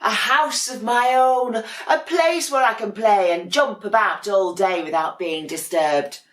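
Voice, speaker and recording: A woman's voice: medium pitch, measured pace, reverberant, voice pushed up, lightly acted. A woman voicing a grumpy young male penguin in a children's storybook, protesting emphatically and indignantly. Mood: relieved.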